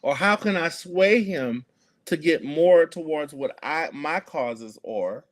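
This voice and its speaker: A man's voice: on the high side, lively intonation, slow pace. A man, speaking earnestly as an activist guest in an interview, with many fillers and restarts. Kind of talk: conversational interview.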